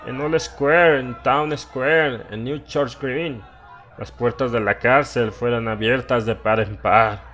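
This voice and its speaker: A man's voice: mid-range voice, steady tone, slow pace, fairly bright tone, highs cut off, faint music behind. A man voicing a haunted old drunkard who recounts horrors in an agitated, dramatic way, as in a horror audiobook. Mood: agitated, grim. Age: older.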